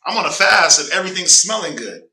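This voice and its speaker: Southern guy teaching bible class, stuffy nose, bright sharp voice, echoey room from a ways off. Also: high voice, very animated, quick pace, a little hiss or hum. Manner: conversational, prompts reader with "go ahead", jokes. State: stuffy nose, clearing throat. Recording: echoey room, distant mic.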